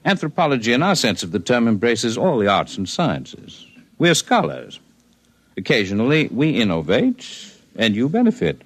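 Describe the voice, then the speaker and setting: An actor playing a calm, scholarly alien healer man in a 1950s radio drama, measured and precise in speech. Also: mid-range voice, slightly rough, no room sound.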